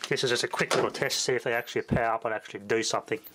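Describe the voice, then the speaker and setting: Male hobbyist, mid-pitched, unscripted demo commentary, offhand delivery, recorded with heavy ambient noise. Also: fairly even intonation, very fast. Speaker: hobbyist demonstrating radio equipment. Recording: loud ambient noise throughout.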